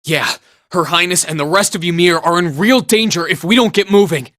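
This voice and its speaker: A man's voice: high-pitched, a little fast, fairly bright tone, dry recording, studio quality. An actor playing an earnest, serious young male student hero in a fantasy video game. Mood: alarmed. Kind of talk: English video game voice acting.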